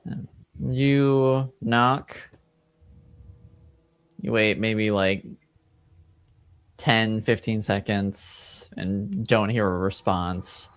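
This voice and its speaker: Smooth, slow-paced male game master. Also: lowish voice, fairly even intonation, no echo. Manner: halting, conversational. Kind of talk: casual improvised game narration.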